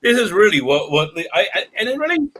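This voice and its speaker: High, bright-voiced man stumbling through restarts. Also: very animated. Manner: halting, many restarts, laughs between points. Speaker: interviewer. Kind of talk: casual interview chat.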